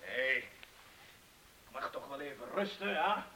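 A male actor playing a nervous, defensive ex-miner among burglars in a radio play, his voice husky and tense. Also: mid-range voice, animated, slow, crowd noise. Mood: testy. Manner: defensive, grumbling, testy.

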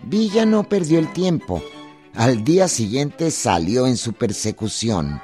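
A man's voice: medium pitch, sing-song, measured pace, mellow. A man narrating history from a script for a radio drama. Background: string music.

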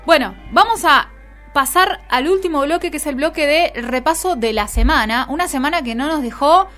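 A woman's voice: high voice, expressive, normal speed, pushed and bright, soft background music. A woman with an Argentine Spanish accent chatting casually as the host of a radio show.

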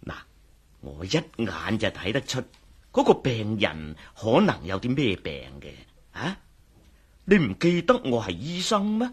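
An actor playing a jovial, self-assured doctor with a husky voice, in a Cantonese radio drama with a Hong Kong accent. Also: male voice, fairly low pitch, very animated, measured pace, exaggerated voice. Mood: teasing, self-assured. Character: jovial, self-assured male doctor and psychologist, teasing friend.

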